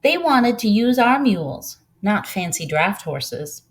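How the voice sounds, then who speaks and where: A gentle older woman from a storybook , acted out by a female reader, recalls her community with quiet pride. She speaks earnestly and takes her time, like someone sharing a memory. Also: medium pitch, big pitch swings, slow. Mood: solemn pride.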